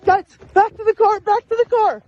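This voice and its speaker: A woman shouting urgently in a distant, noisy phone video. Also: very high-pitched, fairly even intonation, normal speed, muffled recording.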